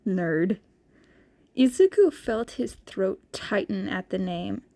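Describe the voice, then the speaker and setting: A woman reading fan fiction aloud as an amateur narrator, with expressive delivery. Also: medium pitch, measured pace.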